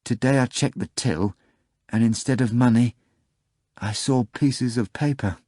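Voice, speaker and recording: A man's voice: mid-range voice, measured pace, warm tone, no echo, studio quality, voice pushed up, close to an everyday voice. A man voicing a timid, flustered middle-aged bartender in an audiobook reading. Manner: flustered, hesitant.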